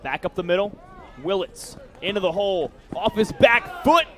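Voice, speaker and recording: A man's voice: high-pitched, very animated, moderate pace, a bit bright. A man doing live baseball play-by-play commentary as the announcer.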